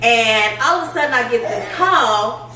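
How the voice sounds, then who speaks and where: Bright, high-voiced woman in an echoey room. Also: normal speed, distant mic, a little hiss or hum. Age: middle-aged. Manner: animated storytelling, laughs often. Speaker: podcast host. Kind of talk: casual chat.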